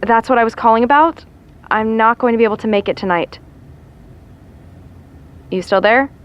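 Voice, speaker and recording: A woman's voice: on the high side, normal speed, clear, resonant tone. An actress playing a dry, teasing young woman calling a friend on the phone. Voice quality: wry, deadpan teasing. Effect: phone call. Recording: muffled phone-line sound.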